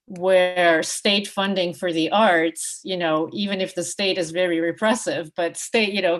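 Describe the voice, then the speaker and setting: Low-pitched woman, reflective and halting. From Russia. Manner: halting, reflective, with many hedging asides. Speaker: book co-editor and panel speaker. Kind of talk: unscripted academic discussion.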